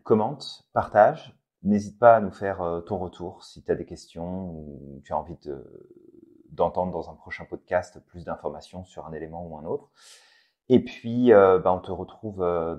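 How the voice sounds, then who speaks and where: A man co-hosting a casual motivational podcast, speaking calmly and addressing the listener directly to push them into action. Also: low-pitched, normal speed. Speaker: podcast co-host.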